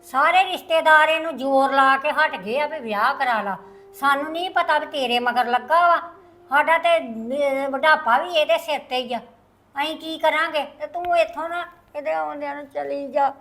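A woman's voice: high voice, moderate pace, bright, forceful tone, a little hiss or hum. A woman playing an elderly mother in a family drama, plaintive and imploring, speaking. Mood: pleading, sorrowful. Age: older. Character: pleading, scolding elderly mother of a wayward son.

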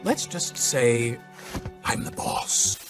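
A man voicing a bossy, cynical baby boss in an animated film, curt and deadpan with a gravelly voice. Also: medium pitch, drawn-out words. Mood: smug. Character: bossy suit-wearing baby boy, cynical corporate boss. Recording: music under dialogue.

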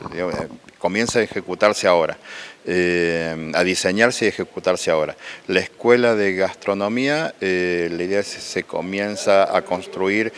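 A man in his forties with an Argentine accent, interviewed indoors on a handheld mic, explaining plans with many hesitant fillers. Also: low voice. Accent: Argentine Spanish. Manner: halting, many "eh" fillers and restarts. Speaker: municipal official interviewed. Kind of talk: local TV interview. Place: indoor event hall. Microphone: handheld reporter mic.

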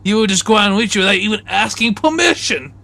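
A man voicing a dramatic, accusing embodiment of envy in an improvised comedy game dub, speaking in halting, theatrical phrases. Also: sits high, lively intonation, creaky, pushed and bright, exaggerated voice.

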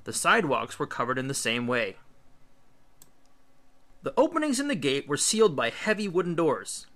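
Man, higher-pitched voice, lively intonation, scripted educational read-aloud, emphatic phrasing. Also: normal speed. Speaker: teacher reading aloud. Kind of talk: scripted read-aloud of an illustrated history book.

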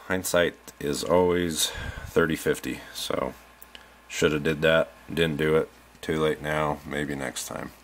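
Low-voiced guy filming on his phone in his rv, offhand and rambly, bit of faint hiss. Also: fairly bright tone. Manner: rambling, offhand, many ums and uhs. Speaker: RV owner showing his solar setup. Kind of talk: casual DIY walkthrough. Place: inside an RV, small room.

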